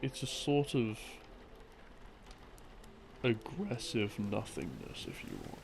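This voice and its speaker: Slow, creaky-voiced man rambling casually. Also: lowish voice, huge pitch swings, noisy background. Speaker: audio creator narrating as a boyfriend explaining game lore. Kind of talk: rambling, intimate casual lore explanation. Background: soft game theme music.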